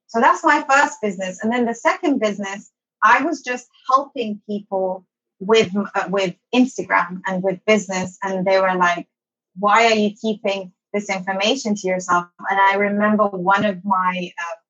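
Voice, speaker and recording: Husky woman from Somalia, slightly dull audio. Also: medium pitch, fairly bright tone. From born in Somalia, moved as a child to Saudi Arabia, then London. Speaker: interview guest, online English teacher. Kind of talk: casual personal storytelling in an interview.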